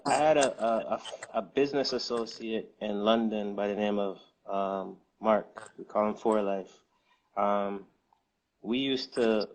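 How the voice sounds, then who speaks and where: Man, low voice, casual interview guest, hesitant, many fillers. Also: darkish tone, a little hiss or hum, poor audio. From United States. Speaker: guest, music distribution co-founder.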